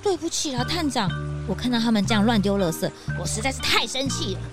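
A woman voicing an eager, excitable young sidekick in a children's audio drama. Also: fairly high pitch, fast, loud music behind the voice, natural voice. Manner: eager, indignant. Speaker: detective's assistant.

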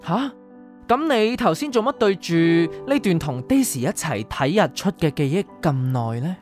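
A woman's voice: on the low side, expressive, moderate pace, darkish tone, soft background music, close to an everyday voice. A woman playing a composed, enigmatic keeper of memories in the afterlife, in a radio drama. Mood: probing. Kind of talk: Cantonese radio drama acting.

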